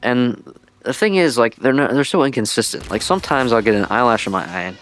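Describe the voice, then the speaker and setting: A man's voice: mid-range voice, lively intonation, a little fast, a bit bright, faint music behind. A man giving casual, laid-back gaming vlog commentary in a rambling way, on an old-school headset-style mic. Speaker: video creator and streamer. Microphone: headset-style microphone, deliberately old-school sound.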